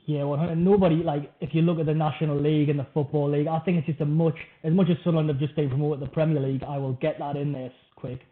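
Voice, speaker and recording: A man's voice: on the high side, little pitch movement, very fast, hardly any pauses. A man from North East England with a local accent, hosting a casual podcast chat in an informal, enthusiastic way. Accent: Wearside/Tyneside, from Washington. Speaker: podcast host. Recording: tinny phone-like line.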